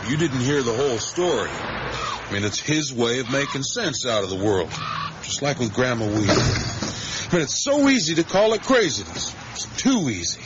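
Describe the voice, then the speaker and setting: Radio drama: male actor as middle-aged father, reasonable and placating, animated intonation, dull recording with heavy background noise. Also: medium pitch, normal speed, lightly acted. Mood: reflective. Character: patient, reasoning father on a road trip. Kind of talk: naturalistic radio drama acting. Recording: dull 1980s radio, loud noise behind.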